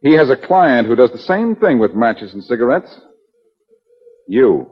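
An actor playing a wry, shrewd, self-assured amateur detective in a 1940s radio drama, probing with deceptively casual questions. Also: male voice, medium pitch, big pitch swings, a little slow, husky. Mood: accusing. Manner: probing, wry, hesitating before pointed questions. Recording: dull, noisy 1940s radio recording.

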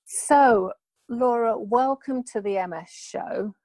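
A woman's voice: on the high side, takes it slowly, no echo, rough recording. A woman hosting a podcast, introducing and interviewing her guest with eager enthusiasm. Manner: enthusiastic, warm thanks. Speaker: podcast host.

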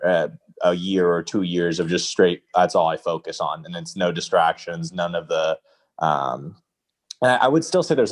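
Low-voiced young man, reflective and casual. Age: early 20s. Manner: reflective, roundabout, self-questioning. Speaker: freelance copywriter asking for advice. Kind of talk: casual chat.

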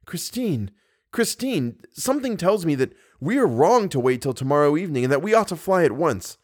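A man's voice: on the high side, very animated, normal speed, husky, pitched above the performer's own voice. A man reading an audiobook, playing a jealous young male lover who is bitter and impetuous. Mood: urgent. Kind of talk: audiobook character dialogue.

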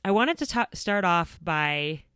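A woman's voice: lowish voice, lively intonation, unhurried, fairly bright tone, clear, resonant tone, no echo, clean studio sound. A woman in her thirties hosting a podcast for moms, earnest and upbeat, reading a scripted intro and then interviewing. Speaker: podcast host.

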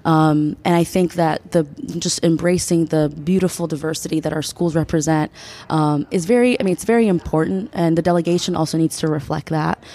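A woman in her twenties, a state legislator from the United States, giving earnest, measured answers as a podcast guest, with frequent ums. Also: low voice, warm tone. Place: conference venue.

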